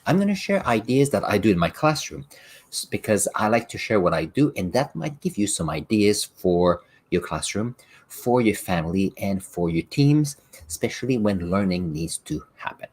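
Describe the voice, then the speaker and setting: A middle-aged man whose first language is French talks through his ideas in a low, slightly husky voice. His pitch moves a lot as he explains, and he gives examples with the odd restart along the way. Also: very animated, normal speed, darkish tone. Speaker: podcast host and science teacher. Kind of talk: solo podcast talk.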